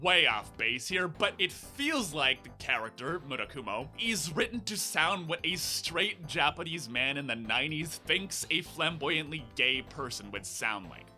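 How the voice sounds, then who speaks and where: Wry, bright-voiced male video essayist. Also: on the high side, lively intonation, a little slow, hardly any pauses, soft background music. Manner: sarcastic, wry and conversational. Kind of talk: scripted video essay narration.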